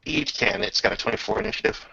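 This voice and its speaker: A man with a creaky voice narrating a tabletop roleplaying game as game master, halting and pausing mid-sentence over online voice chat. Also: sharp tone, no echo. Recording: dull lo-fi voice chat.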